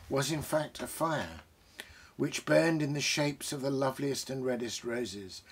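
A British man in his sixties, a host talking about books and reading aloud, reflective and savouring his phrases with deliberate pauses. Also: mid-range voice, creaky, slight background noise. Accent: British English. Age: 60s. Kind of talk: bookish commentary and reading aloud. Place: small book-crammed study, indoors.

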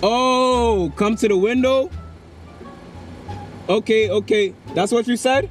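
A man's voice: very high-pitched, takes it slowly. A young American man bantering casually and slangily in a prank video, recorded on a camera inside a car. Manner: slangy, playfully feigning confusion. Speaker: prank vlogger, driver. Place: inside a car at a drive-thru. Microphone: camera in passenger seat. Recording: music over in-car camera audio. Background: music, vehicle sounds.

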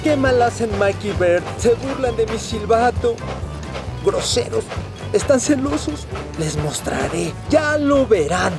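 A man's voice: extremely high pitch, expressive, slow pace, full character voice. A man voicing a proud, grumpy little steam engine in a Latin American Spanish cartoon dub, with an indignant, huffy manner. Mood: huffy, boastful. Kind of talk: animated children's series dub. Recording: music behind the voice.

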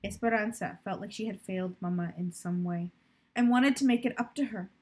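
Husky young woman reading a story aloud. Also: medium pitch, fairly quick, darkish tone. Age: young adult. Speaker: teacher reading a children's novel aloud. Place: plant-filled room indoors by a window.

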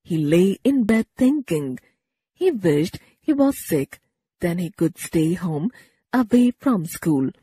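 A woman narrates a children's story with very lively intonation and a slow, measured pace. Her voice has a husky edge, and the recording is dry and close. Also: fairly low pitch, no echo. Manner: measured, expressive storybook delivery.

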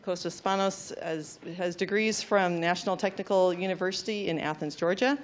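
A woman's voice: fairly low pitch, fairly even intonation, moderate pace. A woman hosting a university seminar, reading an introduction of the speaker from notes into a handheld mic in an auditorium. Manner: reads from notes, apologetic, laughs at own slip. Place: large lecture auditorium. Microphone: handheld microphone.

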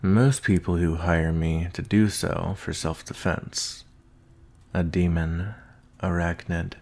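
Man playing a deep gravelly werewolf hunter. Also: steady tone, darkish tone, heavily acted. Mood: calm, caring. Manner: slow, deliberate, murmuring. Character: gruff male werewolf bounty hunter with a hidden soft side. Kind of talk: ASMR roleplay, intimate scripted acting. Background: nature ambience.

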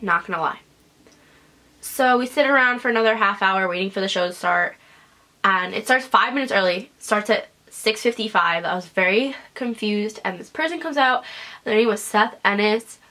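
A young woman tells a story straight to a camera set up in her small bedroom, her voice bright and forward. She sounds congested and sniffly, and she talks in a loose, chatty way, full of asides and fillers. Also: medium pitch, moderate pace. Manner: chatty and animated, lots of "like" and "whatever". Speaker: vlogger. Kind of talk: casual storytelling vlog. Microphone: camera in front at desk distance.

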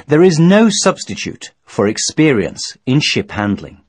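A man reading scripted instructional narration in a measured, formal, didactic manner. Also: on the high side, very animated, husky, no echo. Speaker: training video narrator.

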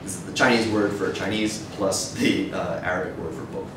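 Male academic, deep voice, measured and hesitant lecture delivery, recorded from afar in a reverberant room. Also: fairly even intonation. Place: dim wood-shelved seminar room with audience, indoors. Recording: echoey room, distant mic, noisy rumble.

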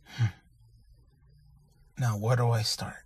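A man playing a gentle, grieving young father in an anime fan dub, speaking softly and haltingly with remorse and tenderness. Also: medium pitch, little pitch movement, husky, no room sound, soft background music. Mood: gentle, reminiscing. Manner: soft, halting, emotional delivery with sighs.

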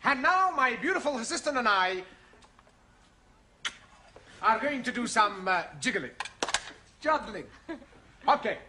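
A man's voice: very high-pitched, sing-song, a little slow, pauses often, bright, forceful tone, full character voice. An actor playing a cheerful, clumsy Greek man in a sitcom, speaking broken English with a Greek accent. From Greece. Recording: studio audience laughter, hiss.

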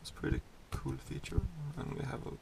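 A man in his thirties from Sweden, a truck driver giving a casual tour of his cab, explaining the controls in a halting way with many fillers and restarts. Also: medium pitch, huge pitch swings, vocal fry, noisy background.

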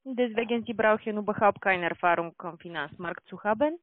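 A non-native German speaker with a foreign accent making a formal, halting sales call as a call centre agent. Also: mid-range voice, dry recording. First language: not German. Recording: tinny dry phone line.

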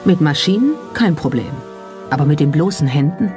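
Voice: mid-range voice, expressive, moderate pace. A narrator reading a scripted documentary. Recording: music under the voice. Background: background music.

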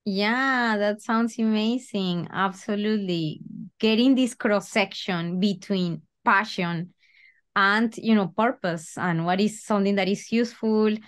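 A woman in her thirties hosting a podcast by video call from a room with bookshelves, asking questions slowly and graciously. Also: medium pitch, clean tone. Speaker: podcast host. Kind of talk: interview questions. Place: home room with bookshelves, indoors.

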